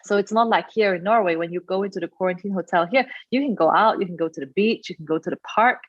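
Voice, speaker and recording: A woman from Singapore on a video call panel, explaining things clearly. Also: mid-range voice, fast, no room sound, dull audio.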